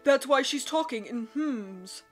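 Woman putting on a villainous woman, loud and accusing. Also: sits high, expressive, measured pace, soft background music, voice pushed up. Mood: accusing, urgent. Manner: urgent, accusing. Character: villainous woman with hair but no beard, stern accuser.

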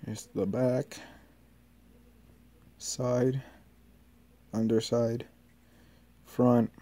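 A man giving a casual hands-on tutorial in halting, short step-by-step instructions. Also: lowish voice, warm tone. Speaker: tutorial presenter. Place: carpeted floor in a room at home.

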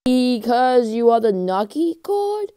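An amateur voice actor delivering exaggerated, exclamatory web cartoon lines, swinging between muttering and yelling. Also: medium pitch, big pitch swings, takes it slowly, clear, resonant tone, dry recording, clean studio sound.

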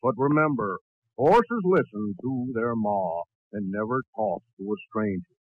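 A man playing a folksy dog doctor, telling corny jokes deadpan in a 1940s radio comedy. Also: fairly low pitch, unhurried, breathy edge, no room sound. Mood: joking. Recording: tinny, narrow 1940s radio recording.

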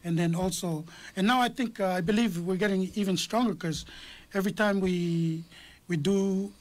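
Earnest, loud-voiced man guesting on radio. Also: high-pitched, normal speed. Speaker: choir member guest. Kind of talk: casual radio chat. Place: small radio studio. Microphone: table mic.

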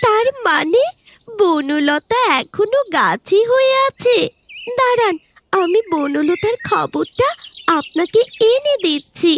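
Very high, childlike talking tree. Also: female voice, slow pace, slight background noise, full character voice. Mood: eager. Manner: childlike, animated, caring. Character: friendly, eager, devoted talking tree in a fairy tale. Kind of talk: fairy-tale cartoon dubbing.

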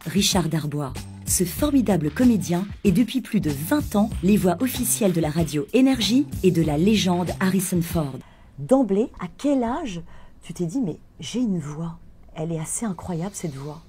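A woman's voice: on the low side, expressive, moderate pace, dark tone, faint music behind. A woman interviewer asking enthusiastic, admiring questions, seated in a lounge.

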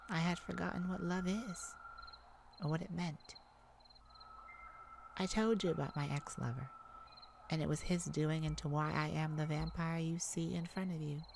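Lovesick wounded vampire woman acting, ASMR roleplay, very slow, halting, emotional, faint cricket-filled night ambience. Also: sits low, steady tone, gravelly, darkish tone, a little hiss or hum. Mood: tender, vulnerable. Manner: hesitant, emotional, halting with sighs and pauses. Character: tender, wounded, lovesick vampire speaking to a hunter. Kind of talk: ASMR roleplay, intimate dramatic monologue.

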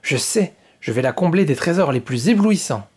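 A well-meaning little boy king from a storybook speaks softly and earnestly in a high voice, as a man plays him. There is a slight huskiness in his tone. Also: lively intonation, normal speed, breathy edge. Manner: soft, earnest, polite.

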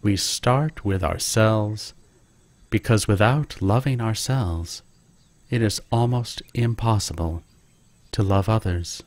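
A man leading a guided meditation with gentle, calm instruction. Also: fairly low pitch, slow pace, no room sound.

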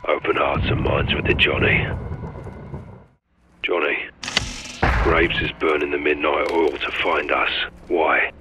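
An actor playing a stoic, dry-witted special forces lieutenant in a video game, speaking tersely and deadpan over military radio. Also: male voice, extremely low pitch, slow pace, pauses often, muffled recording, noisy background. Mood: terse, calm.

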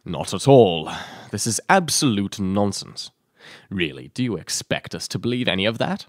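An actor playing a fussy, sceptical storyteller in an audio drama, dry, sarcastic and disapproving. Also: male voice, fairly low pitch, big pitch swings, moderate pace, dry recording. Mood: sarcastic, exasperated. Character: fussy, sceptical male storyteller, easily exasperated.

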